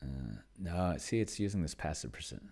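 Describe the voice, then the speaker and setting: A man casually thinking aloud as he plays a solo tabletop game, halting and muttering to himself as he types. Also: rumbling low, very animated, creaky, darkish tone, breathy edge. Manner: self-described pedantic. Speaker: solo tabletop player and video host.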